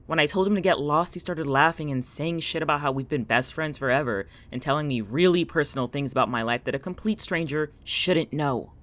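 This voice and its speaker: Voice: mid-range voice, a little fast, few pauses, fairly bright tone, natural voice. An actor playing a stressed, guarded young woman in an audio drama, snappy and sarcastic. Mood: anxious, hushed. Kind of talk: naturalistic audio drama dialogue.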